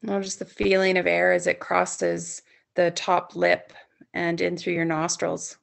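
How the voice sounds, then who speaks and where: Female meditation guide for bedtime yoga nidra: lowish pitch, very strong vocal fry, soothing and unhurried, with long pauses. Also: level tone. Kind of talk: guided sleep meditation, softly spoken instruction.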